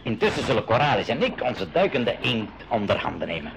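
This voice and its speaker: A man playing a cautious, kindly inventor uncle in a 1980s educational radio play, with expressive delivery. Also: lowish voice, brisk, creaky. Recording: faintly noisy 1980s radio recording.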